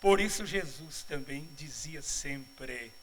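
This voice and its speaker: A middle-aged man from Bahia, Brazil, giving a spiritual lecture at a congress, mixing humorous asides with measured, didactic explanation. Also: fairly high pitch, lively intonation, a little hiss or hum, rough recording. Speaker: congress speaker. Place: congress auditorium.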